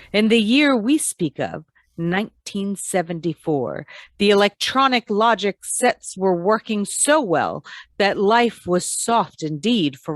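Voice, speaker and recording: A woman playing a dramatic announcer of science-fiction radio tales, narrating deliberately in old-time radio style. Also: fairly low pitch, no echo. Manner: deliberate, theatrical delivery.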